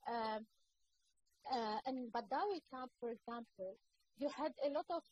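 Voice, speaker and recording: Panel guest: woman, 30s, Lebanese accent, earnest, full of fillers and restarts, high voice, muffled laptop-mic audio. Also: measured pace, a little mellow. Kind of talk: panel discussion over video call. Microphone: laptop microphone on video call. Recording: muffled video-call audio with faint noise.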